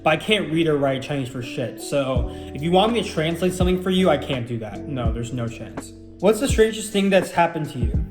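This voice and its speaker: A man's voice: fairly high pitch, lively intonation, fairly quick, hardly any pauses. A young man in his late teens, rambling casually through viewer questions with lots of fillers and self-deprecating asides. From United States. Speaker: YouTuber answering viewer questions. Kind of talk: casual Q&A chat. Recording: roomy room with background music.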